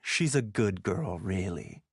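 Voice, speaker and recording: A suave game pharmacist, leisurely and polite. His pace is very slow but his pitch moves in a lively way, close and dry. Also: slightly rough, no echo, heavily acted. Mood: unruffled. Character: suave, unhurried, genial pharmacy owner. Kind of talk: video game voice acting.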